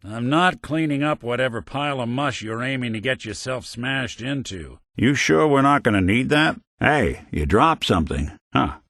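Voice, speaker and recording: An actor playing a world-weary, wisecracking robot detective in a video game, with an American accent and a wry, streetwise, hard-boiled noir delivery. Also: male voice, medium pitch, animated, moderate pace, hardly any pauses, fairly bright tone, no room sound, studio quality. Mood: wry, steady.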